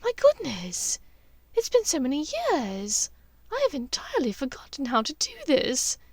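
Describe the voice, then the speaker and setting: A woman voicing a well-bred lady, genteel, smooth and slightly waspish, guarded and polite, in a storybook reading. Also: high-pitched, huge pitch swings, moderate pace, no echo, voice pushed up, heavily acted. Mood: flustered.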